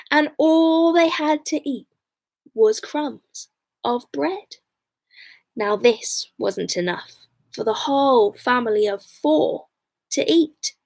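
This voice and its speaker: A woman reading a children's bedtime story expressively, drawing out words and dropping into hushed, dramatic asides. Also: a little high.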